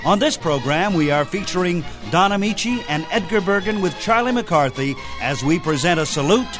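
A man presents scripted radio narration as host. His voice is fairly high and moves animatedly, with music playing clearly underneath. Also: lively intonation, normal speed.

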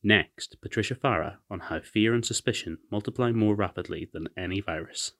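A man delivers scripted announcements as a presenter. His voice is deep, and the recording is dry with no room sound. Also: a little fast, fairly bright tone, dry recording. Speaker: presenter introducing contributors.

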